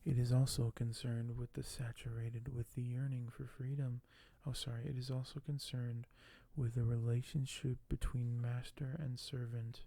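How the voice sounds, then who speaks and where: Male ASMR creator, hushed and whispery, crackly low-energy fry, very dry close-mic sound, slow halting reading. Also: flat delivery, no room sound. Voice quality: hushed, soft, often whispered. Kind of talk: ASMR unboxing and reading aloud. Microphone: close microphone.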